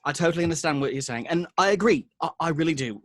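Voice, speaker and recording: A man's voice: a little high, animated, quick pace, fairly bright tone, dry recording, studio quality. A man playing a defensive, placating slacker boyfriend, hesitant in manner, in an informal table read of a film script.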